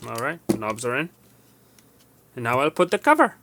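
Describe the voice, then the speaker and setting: A man presenting a casual hands-on repair demonstration, going step by step in a halting way and correcting himself. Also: medium pitch, wildly swooping pitch, pushed and bright, slight background noise. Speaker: tutorial presenter.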